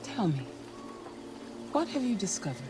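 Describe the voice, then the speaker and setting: An actress playing a calm, authoritative female handler who speaks in a soft, measured, probing way, in a video game cutscene. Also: medium pitch, close to an everyday voice. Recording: game score music behind. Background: music.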